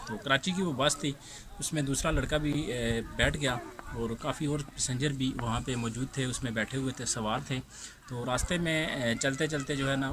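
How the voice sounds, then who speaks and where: A man with a Pakistani accent tells a story informally as a host, halting and repeating himself, often asking rhetorical questions. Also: mid-range voice. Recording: clearly noisy amateur recording.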